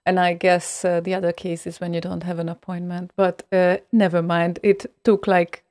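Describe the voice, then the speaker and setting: A woman's voice: fairly low pitch, flat delivery, normal speed, darkish tone, dry recording. A woman hosting a radio show, chatting casually in a rambling way with many fillers and a dry, teasing humor. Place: radio studio.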